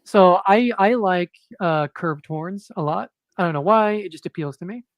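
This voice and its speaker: A man speaking as a guest comic book creator in a casual interview, monotone and hesitant, with many fillers and restarts. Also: high-pitched, moderate pace, dry recording.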